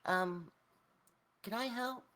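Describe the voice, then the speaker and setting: A man voicing a tiny, excited gnome girl with an eager, innocent, childlike way of talking in a tabletop game. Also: sits high, slow, pitched above the performer's own voice, full character voice.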